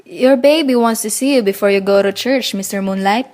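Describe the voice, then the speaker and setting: A woman's voice: medium pitch, noisy background, natural voice. A woman acting a blunt, loyal servant in a radio play, scolding yet fond and no-nonsense. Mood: scolding, soothing. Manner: brisk, no-nonsense. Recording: radio play with music behind.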